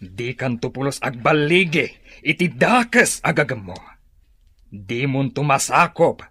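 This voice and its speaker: Casting: defiant captive chieftain for radio drama, forceful rough-edged voice, emphatic and lively. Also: male voice, mid-range voice, measured pace, no room sound, heavily acted.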